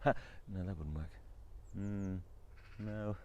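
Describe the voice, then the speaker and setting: A man's voice: low voice, slow, darkish tone, dull audio, slight background noise, voice pushed down. A storyteller voicing a bewildered husband who has lost his wife, anxious and pleading. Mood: pondering. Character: grieving husband, frantic and bewildered.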